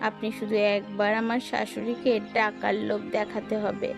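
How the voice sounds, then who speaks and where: A woman's voice: mid-range voice, level tone, normal speed, vocal fry, music in the background, close to an everyday voice. A woman playing a sly, self-assured younger daughter-in-law who schemes in a village family cartoon. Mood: sly, persuasive. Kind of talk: cartoon moral-tale voice acting.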